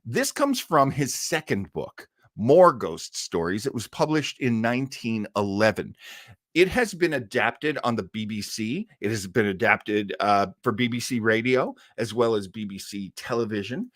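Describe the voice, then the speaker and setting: A man with a lowish voice hosts a casual live stream, his intonation lively and varied. He is close to the mic with a very dry sound. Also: moderate pace, no echo. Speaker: host of an old-time radio show stream.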